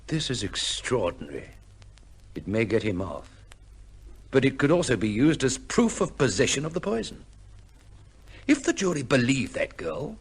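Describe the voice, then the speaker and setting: Skeptical male prosecutor, husky, brusque, swooping intonation, vintage radio play. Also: mid-range voice. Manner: brusque, incisive, formal. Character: hard-nosed, skeptical male prosecuting lawyer. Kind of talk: old-time radio drama acting.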